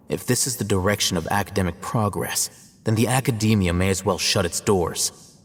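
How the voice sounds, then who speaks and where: An actor playing a cool, detached scholar in a video game, speaking in a measured, formal and deliberate way. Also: male voice, fairly low pitch, big-room sound, a little hiss or hum, lightly acted. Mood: cool, disapproving. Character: cool, analytical, detached male scholar and scribe. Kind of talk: scripted dramatic cutscene dialogue.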